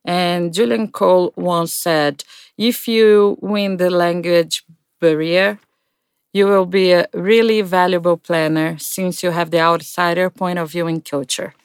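A woman interviewing a guest, asking polite, measured questions and laughing easily. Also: on the low side, fairly even intonation, clear, resonant tone, dry recording, clean studio sound.

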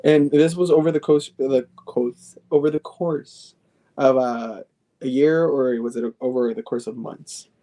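A man's voice: medium pitch, fairly even intonation, unhurried, mellow. A man in his twenties hosting a podcast interview, chatty and casual, often restarting his phrases with lots of fillers. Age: 20s. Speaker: podcast host.

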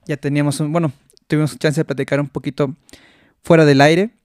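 A man's voice: fairly high pitch, little pitch movement, fairly quick, darkish tone, smooth, dry recording, clean studio sound. A man with a Mexican accent hosting a podcast interview in casual chat, laughing often and restarting his sentences. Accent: Mexican Spanish. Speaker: podcast host.

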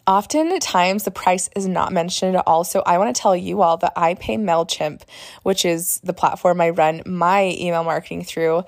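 Young woman solo podcaster, a bit hoarse, lower register, casual and upbeat, dry booth sound. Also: dry recording. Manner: chatty and upbeat, with fillers and self-corrections. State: hoarse, recovering from laryngitis. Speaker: podcast host, marketer.

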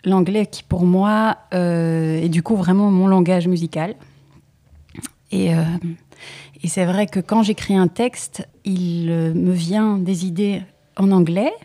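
A woman singer talks through her work in a relaxed interview. Her voice is low and mellow, and she speaks in a thoughtful, hesitant way, often pausing with small fillers as she looks for the right words. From Belgium. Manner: thoughtful, hesitant, many pauses and "euh".

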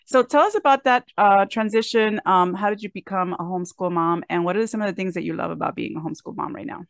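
A woman's voice: mid-range voice, fast talker, gravelly, dry recording. Encouraging woman host, dry sound. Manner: encouraging, laughs easily. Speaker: podcast host, interviewer. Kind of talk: interview questions and backchannel.

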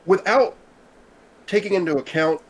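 A man's voice: high-pitched, moderate pace, breathy edge. A man in a casual chat, patiently explaining ideas step by step.

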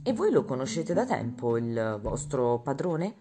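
A woman's voice: rumbling low, measured pace, exaggerated voice. Woman voicing a shrewd male police inspector. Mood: probing. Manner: calm, probing questions. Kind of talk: audiobook character voice. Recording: guitar music playing underneath. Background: guitar music.

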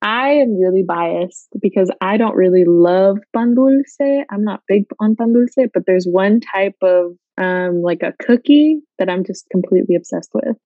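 A young woman from Guatemala talks easily through an interview, with lots of fillers and quick laughs. The recording is dry and a little muffled. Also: medium pitch, no echo. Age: young adult. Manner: chatty, many fillers, laughs often. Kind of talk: casual interview.

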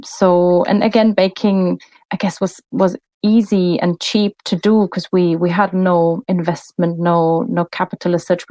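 A woman, a podcast guest talking in a relaxed way, often pausing with fillers and restarting sentences. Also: lowish voice, no room sound. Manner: thoughtful, many fillers and restarts. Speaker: podcast guest, bakery business co-founder. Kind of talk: casual interview conversation.

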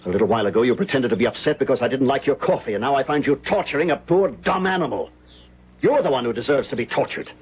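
A man acting in an old-time radio drama as an earnest, courteous gentleman, narrating and speaking in a formal, theatrical manner. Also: medium pitch, animated, fast talker, husky. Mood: outraged, stern. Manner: formal, theatrical, solemn, hesitant. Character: earnest, courteous gentleman recounting a haunting memory. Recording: dull old radio broadcast, music behind.

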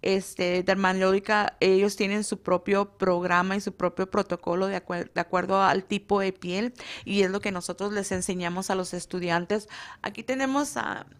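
A woman, a beauty school instructor whose first language is Spanish, talking on a radio interview and often pausing to search for words. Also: mid-range voice, steady tone. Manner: hesitant, many fillers, searches for words.

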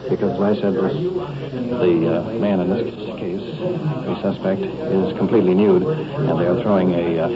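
A man's voice: low-pitched, expressive, a little slow, over a noisy crowd. A man reporting live as he rides along with police, improvising hesitantly in formal wording, in the style of an old radio documentary. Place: on location in police car and houses. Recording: muffled, very noisy old field recording. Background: loud engine and ambient noise.

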